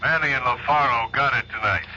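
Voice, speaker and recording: Tired, terse police chief, sharp tense voice, dull old radio sound. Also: male voice, mid-range voice, brisk. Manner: terse, commanding. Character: weary male police chief, blunt. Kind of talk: 1970s radio drama acting. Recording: dull lo-fi radio broadcast with faint hiss.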